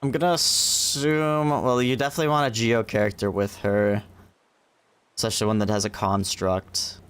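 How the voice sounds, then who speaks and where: Low-voiced man streaming a game. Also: steady tone, moderate pace, a bit bright, clean tone. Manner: thinking aloud, self-questioning. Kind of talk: casual livestream gameplay commentary.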